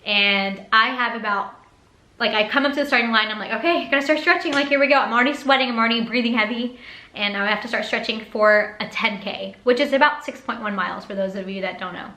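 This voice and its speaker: A young woman vlogger telling a casual story in a chatty, animated way, often acting out quotes. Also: medium pitch, brisk. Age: young adult. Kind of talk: casual story time vlog. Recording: roomy echo.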